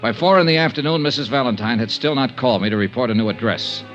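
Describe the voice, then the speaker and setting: An actor playing a world-weary, compassionate insurance investigator, narrating tersely in a 1950s radio drama. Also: male voice, mid-range voice, quick pace, fairly bright tone, close to an everyday voice. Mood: somber, weary. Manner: terse hard-boiled narration. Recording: muffled 1950s radio recording with music.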